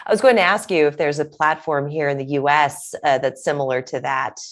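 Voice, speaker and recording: A woman's voice: low-pitched, fairly even intonation, a little fast. A woman interviewing a guest, asking curious questions as a layperson.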